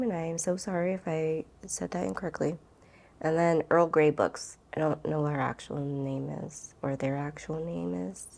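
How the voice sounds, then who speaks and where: A woman's voice: lowish voice, vocal fry, darkish tone. A woman book vlogger chatting casually and rambling to camera, with an informal, gushing and emphatic way of talking.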